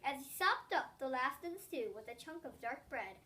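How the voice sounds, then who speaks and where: High-pitched young girl reading, distant mic. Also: moderate pace. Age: child, about eight or nine (3rd grader). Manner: expressive reading with occasional stumbles. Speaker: young student reading a storybook aloud. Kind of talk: read-aloud story narration.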